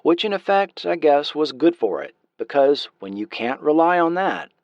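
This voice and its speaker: A man's voice: fairly high pitch, very animated, moderate pace, no echo, muffled recording. A man talking reflectively, as in an interview.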